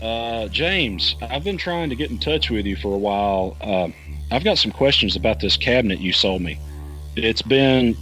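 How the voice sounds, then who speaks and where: A man's voice: medium pitch, lively intonation, moderate pace, sharp tone, soft background music, poor audio. An actor playing a wary, uneasy man caught in a conspiracy, speaking hesitantly in a naturalistic audio drama style. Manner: hesitant, questioning.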